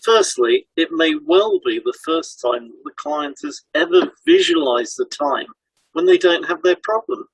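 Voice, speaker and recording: A man with a fairly high, creaky voice explains things in an instructional, scripted podcast style. His intonation swings widely, and the sound is dry, with very little room around it. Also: wildly swooping pitch, unhurried, dry recording, poor audio. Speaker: hypnotherapist and podcast co-host.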